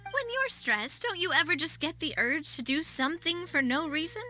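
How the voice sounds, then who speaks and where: A woman's voice: sits high, very animated, normal speed, pushed and bright, soft background music, heavily acted. A woman voicing a confident, outgoing and playful young lady boss in a video game, exuberant and friendly. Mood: enthusiastic, persuasive. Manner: exuberant, friendly, self-assured. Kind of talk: video game character dialogue.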